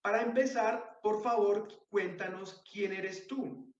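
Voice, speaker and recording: A man's voice: high voice, steady tone, slow. A man hosting a podcast, presenting his guest and putting interview questions, recorded with dull, roomy sound. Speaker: podcast host.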